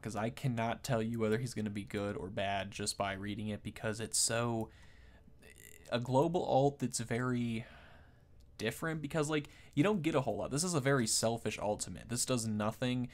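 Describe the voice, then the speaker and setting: A man's voice: medium pitch, moderate pace. A man giving casual, off-the-cuff game commentary as a video host, rambling and thinking aloud.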